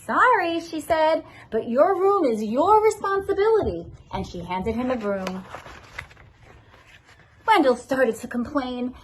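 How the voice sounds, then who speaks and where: A woman's voice: sits high, a little mellow. A woman reading a children's storybook aloud expressively, as a school assistant principal addressing her pupils.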